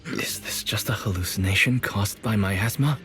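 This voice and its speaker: Man as young male student, troubled, questioning, hushed, lowish voice, game drama, light music. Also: moderate pace, close to an everyday voice. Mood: confused. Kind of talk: dramatic game cutscene acting.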